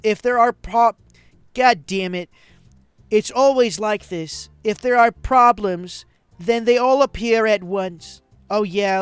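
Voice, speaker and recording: A woman's voice: mid-range voice, smooth, dry recording. A woman hosting a gaming channel, playfully dubbing a visual novel with profane, exclaiming commentary. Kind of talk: comic dubbing and casual chat over a visual novel.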